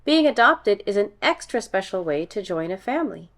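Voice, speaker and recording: Woman acting a gentle, reassuring storybook mom. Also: medium pitch, sing-song, moderate pace. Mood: tender, reassuring. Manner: tender, explaining patiently. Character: gentle, reassuring adoptive mother.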